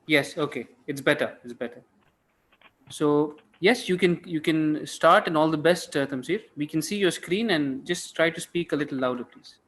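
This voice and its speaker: Higher-pitched man moderating an online event. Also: normal speed, fairly bright tone. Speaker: host of an online pitch competition. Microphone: video call.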